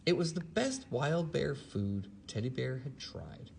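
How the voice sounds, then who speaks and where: A man reading aloud to a child in an expressive, animated storytelling manner. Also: mid-range voice, a little slow, gravelly, slight background noise. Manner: animated, acts out character lines. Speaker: storybook reader. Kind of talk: expressive read-aloud of a children's story, then casual chat.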